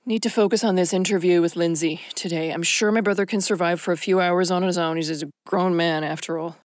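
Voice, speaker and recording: A woman playing a polite, businesslike detective in an audio drama, courteous and measured. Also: lowish voice, fairly even intonation, no echo, studio quality, close to an everyday voice. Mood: preoccupied. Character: polite, businesslike female detective, flattering and composed.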